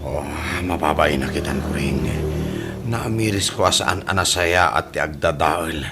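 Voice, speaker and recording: A man's voice: extremely low pitch, a little slow, background noise. A man acting a scheming, worried politician running for governor in an Ilocano radio comedy drama. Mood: troubled, regretful.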